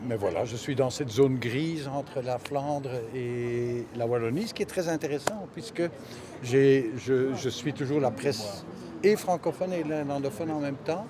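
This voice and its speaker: A man's voice: mid-range voice, unhurried. A man from Belgium, a native Dutch speaker, answering casually as an interviewed cartoonist. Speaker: interviewed cartoonist and press illustrator. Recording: busy crowd chatter around the voice. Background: crowd chatter.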